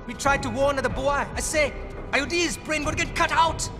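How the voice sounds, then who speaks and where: A scrappy street kid voiced by an actor, talking in streetwise broken English. Long reverb and loud game music surround the voice. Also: high voice, normal speed, close to an everyday voice. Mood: agitated. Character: scrappy young street boy who escaped captors.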